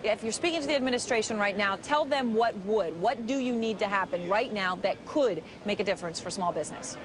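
A woman in her thirties anchoring live business news in a TV studio, asking brisk, poised questions. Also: medium pitch, background noise.

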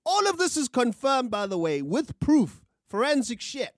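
A young man with a South African accent talks fast and heated about the news. His voice sits high and leaps around a lot. He curses freely, and the studio sound is close and dry. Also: dry recording. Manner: animated, profane, gesturing with his hands. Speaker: podcast co-host. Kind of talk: opinionated news commentary. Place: small studio room. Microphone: studio mic on a boom, with headphones.

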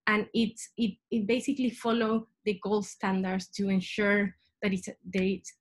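A young woman from Chile with a Chilean Spanish accent, giving an informal science presentation over a video call as a PhD student. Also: mid-range voice. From Santiago, Chile. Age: young adult. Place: small indoor room at home. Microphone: webcam video call.

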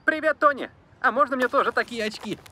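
A man voicing a pushy, chirpy young male lab helper in a cartoon dub, eager and fast-talking. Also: extremely high pitch, animated, pushed and bright, slight background noise, full character voice.

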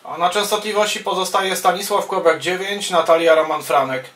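A man's voice: sits high, fairly even intonation, brisk, heavy background noise. A man making amateur radio calls and casual commentary, procedural, with hesitant phrasing, recorded from a distance in a small room. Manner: procedural, spells callsigns in phonetic words, hesitant between phrases. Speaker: amateur radio operator and YouTuber. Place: small wood-panelled radio room indoors. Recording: roomy, distant microphone sound.

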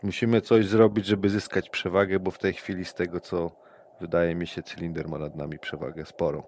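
A man's voice: sits low, level tone, a little fast, darkish tone. A man playing a tabletop game online, speaking in character in a rambling, scheming way and checking in with his listener often. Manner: rambling, conspiratorial, peppered with "wiesz" and "nie?". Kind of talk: in-character roleplay, casual and scheming. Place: home, online session. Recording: online session audio with faint noise.

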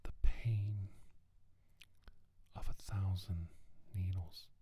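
A man playing a haunted doctor giving testimony of his abduction in a halting, deliberate ASMR whisper. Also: deep voice, fairly even intonation, dark tone, a little hiss or hum. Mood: anguished, dread. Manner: slow, halting, deliberate, with pauses and repeated words. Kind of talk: whispered ASMR roleplay monologue.

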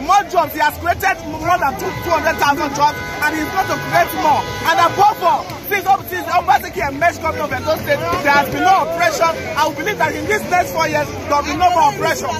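Fervent Nigerian man amid loud crowd. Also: very high-pitched, fairly even intonation, a little fast, loud music behind the voice. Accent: Nigerian English. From Benin City, Edo State, Nigeria. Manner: fervent, repetitive, stumbles over words. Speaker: party supporter at a street rally. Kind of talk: excited street interview. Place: busy roadside outdoors beside a fence. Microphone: phone selfie at arm's length. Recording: loud cheering crowd behind.

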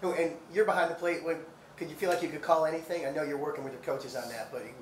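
Guy asking casual sports questions, talks quick, sounds far from the mic. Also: fairly high pitch, fairly even intonation. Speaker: press conference moderator, interviewer. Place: indoor press conference room. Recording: echoey, off-mic, faint room noise.

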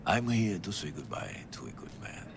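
Very deep, gravelly old priest. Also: male voice, sing-song, full character voice. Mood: solemn. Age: older. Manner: measured, grave. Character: solemn, stern older male gang-connected priest. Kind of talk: video game acting. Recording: clear rumbling background noise.

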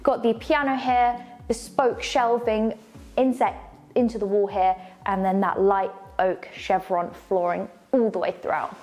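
A woman's voice: mid-range voice, flat delivery, measured pace, a little mellow. A young woman with an enthusiastic, polished delivery, presenting a house tour. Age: young adult. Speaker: presenter of a luxury home tour show. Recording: light background music. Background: faint music.

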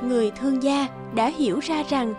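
A woman's voice: sits high, moderate pace, music in the background. A woman, reading scripted narration for a children's story.

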